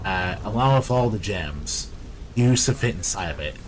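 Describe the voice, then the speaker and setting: A man with a low voice does offhand, sweary game commentary. His pitch moves around a lot as he mutters through his play. There is clear background noise behind him. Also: lively intonation, moderate pace, rough recording. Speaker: gameplay video creator. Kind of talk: casual gameplay commentary.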